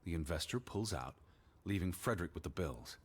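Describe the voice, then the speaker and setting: Very deep, weary American naturalist. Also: male voice, animated, fairly quick, breathy edge. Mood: somber musing. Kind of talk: video game voice acting.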